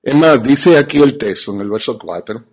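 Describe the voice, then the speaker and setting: A man with a Dominican Spanish accent preaching and teaching as a pastor, emphatic, with rhetorical questions and deliberate pauses. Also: mid-range voice, expressive, slightly rough. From Dominican Republic. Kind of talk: teaching sermon to an audience.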